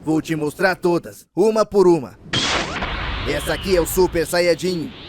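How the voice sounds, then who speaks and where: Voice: mid-range voice, moderate pace, background noise, natural voice. An actor voicing a cheerful, eager male martial-arts anime warrior, friendly and boastful in a parody dub. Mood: eager, showing off.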